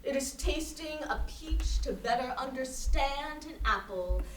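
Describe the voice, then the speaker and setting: A woman playing a stubborn, evasive intellectual in a staged reading, emphatic and halting as she contradicts and lectures. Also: high voice, lively intonation. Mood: lecturing, contrarian. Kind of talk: staged reading of a play before an audience. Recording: roomy, distant stage recording with faint noise.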